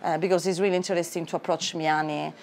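A woman in her thirties with an Italian accent and a lowish voice talks about wine in a cellar. She pauses often to find her words. A faint hum of the room sits behind her. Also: fairly even intonation, darkish tone. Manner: careful, with frequent hesitations. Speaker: wine merchant. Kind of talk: tasting discussion.

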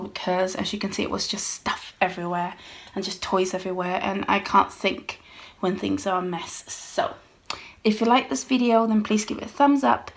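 Chatty woman vlogging on her phone. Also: medium pitch. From United Kingdom. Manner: chatty, rambling, friendly asides to viewers. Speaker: home cleaning vlogger. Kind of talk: casual chat to camera. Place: small home, bedroom and hallway. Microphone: handheld phone camera at arm's length.

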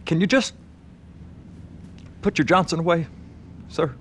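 An actor playing a timid, anxious young American dockworker in a video game, halting and stammering submissively. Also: male voice, a little high, fairly bright tone, slight background noise. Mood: frightened. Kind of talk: video game cutscene acting.

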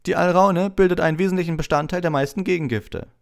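Guy doing a brisk witch teacher lady in an audiobook, really high voice, telling the class what to do. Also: creaky, clear, resonant tone. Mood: brisk, instructive. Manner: instructive, brisk. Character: brisk, practical female herbology professor teaching children. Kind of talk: audiobook character voice.

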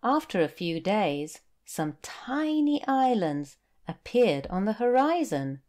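British woman, storybook narrator, teacherly, very slow pace, animated intonation, slightly low pitch. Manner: clear, deliberate, expressive. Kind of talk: children's storybook narration for learners.

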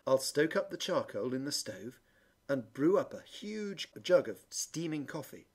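A woman as a husky, kindly old shoemaker. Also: rumbling low, big pitch swings, unhurried, pitched below the performer's own voice, exaggerated voice. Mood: cheerful. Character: kindly, thoughtful old male Russian shoemaker. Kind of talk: storybook character reading.

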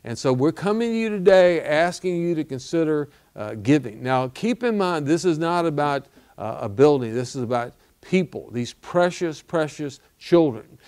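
A man's voice: on the high side, animated, moderate pace, a little mellow, smooth. Older male pastor, earnest fundraising appeal, tags lines with "okay?". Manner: earnest, repeats "okay?" and "all right?". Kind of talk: appeal for donations. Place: studio set with wooden wall backdrop.

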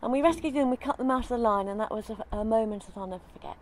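A woman's voice: fairly high pitch, rapid-fire, slight background noise. An older woman in a casual outdoor interview, chatting about sailing life with frequent ums. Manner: chatty, thinks aloud with many ums. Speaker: interviewee, sailor. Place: outdoors in a leafy garden.

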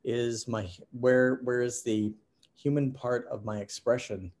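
Halting middle-aged man on a video call. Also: mid-range voice, steady tone, darkish tone. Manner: halting, many fillers and restarts. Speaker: artist presenting work. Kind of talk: casual presentation on a video call.

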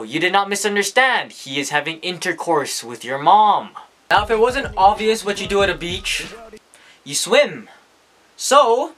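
A man's voice: fairly high pitch, sharp tone. A young man in a casual, rambling vlog, talking about his day with crude jokes and self-mockery, filmed first in his bedroom and then by a camera mounted in his car. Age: young adult. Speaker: vlogger. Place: small cluttered bedroom, then inside a parked car. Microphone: camera on a desk, then a dashboard car camera.

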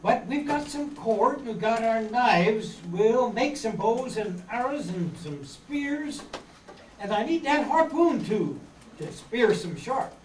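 A high-pitched, practical leader of young castaways, acted out by a man in an old-time radio adventure. He takes charge as he reads from a script. The sound is roomy and distant, with faint noise. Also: sing-song, slow pace, full character voice. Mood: resolute. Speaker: amateur actor in a live radio-play reading. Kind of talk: 1930s children's radio adventure acting, read from script. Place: meeting room stage before seated audience. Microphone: far camera.

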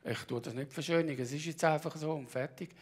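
A middle-aged or older man with a Bernese Swiss German accent, giving a halting personal account on stage and often clearing his throat. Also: fairly high pitch. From Bernese Oberland, Switzerland. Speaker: practitioner giving a talk.

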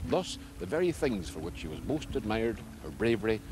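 A middle-aged man presents in a Northern Irish accent. His voice sits fairly low. He moves between scripted documentary storytelling and casual interviewing. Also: sing-song, a little fast, background noise.

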